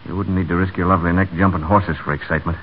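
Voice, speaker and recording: An actor playing a brash, brooding, lovestruck stable hand, blunt and earnest, in a 1940s radio drama. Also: male voice, deep voice, little pitch movement, very fast, a little hiss or hum, lightly acted. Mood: ardent. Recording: tinny, narrow 1940 radio broadcast.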